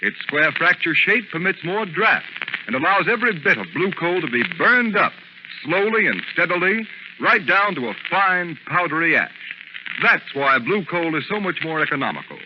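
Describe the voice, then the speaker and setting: A man's voice: on the high side, big pitch swings, moderate pace. A man announcing in an old-time radio advertising style, polished and emphatic. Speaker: radio announcer and sponsor pitchman. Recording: muffled, very noisy vintage radio recording.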